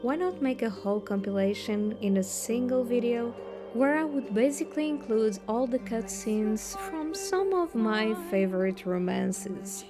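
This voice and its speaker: A woman video creator giving a voice-over introduction to her viewers. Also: on the high side. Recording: music behind the voice.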